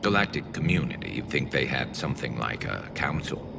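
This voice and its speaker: A man's voice: extremely low pitch, very animated, brisk, gravelly, background noise, full character voice. A man voicing a dry, teasing alien soldier in a video game, with a wry drawl. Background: background music.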